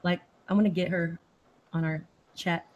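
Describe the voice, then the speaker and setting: A woman's voice: lowish voice, fairly even intonation, normal speed, a little mellow, lo-fi sound, a little hiss or hum. A woman from Austin, Texas, hosting a casual live chat and reading viewer comments aloud in a chatty, easily sidetracked way.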